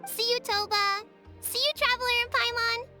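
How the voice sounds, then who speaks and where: A woman's voice: very high-pitched, fairly even intonation, normal speed, bright, forceful tone, faint music behind, heavily acted. A woman voicing an earnest, slightly sulky but well-meaning young child in a video game, with a childlike voice. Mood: reluctant. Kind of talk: video game character acting.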